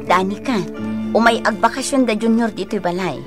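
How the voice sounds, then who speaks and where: An actress playing a sensible mother and elder sister who gives gently admonishing advice in a radio drama. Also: mid-range voice, moderate pace, loud music behind the voice, lightly acted. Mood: patient advice. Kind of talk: Ilocano radio drama acting.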